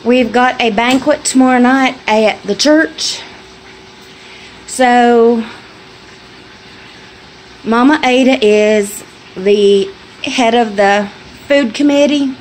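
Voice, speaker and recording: Woman vlogger, middle-aged, slow-spoken and folksy, slightly high voice, faint frying behind, phone mic in a home kitchen. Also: a little hiss or hum, low-quality recording. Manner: folksy, chatty, laughs easily. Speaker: homestead vlogger. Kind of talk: casual chat. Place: small home kitchen, indoors. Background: food frying and sizzling.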